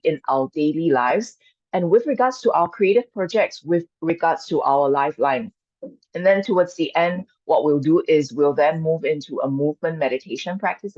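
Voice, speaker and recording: A woman from Singapore, a Chinese speaker, gently guiding an online mindfulness and qigong workshop as its coach. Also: sits low, no echo. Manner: gentle, inviting, reassuring. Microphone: video call. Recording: dull dry video call audio.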